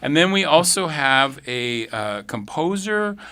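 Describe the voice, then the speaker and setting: A man's voice: on the high side, big pitch swings, a little slow, bright, forceful tone, clear, resonant tone. A man hosting a radio show, chatting casually and live from the studio.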